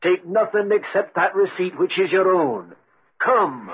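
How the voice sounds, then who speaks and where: A man playing a solemn dead servant, grave and deliberate, with a very husky voice, in 1940s radio style. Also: high voice, expressive, very noisy. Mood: grave warning. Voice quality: very husky, breathy. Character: solemn dead servant ghost warning a friend. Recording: muffled 1940s radio recording.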